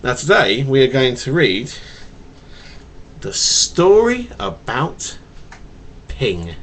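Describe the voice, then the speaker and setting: A man with a slight stutter reading a picture book to his young child in a playful, animated way, with casual asides, recorded on a home webcam. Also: medium pitch, a little hiss or hum. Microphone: webcam mic.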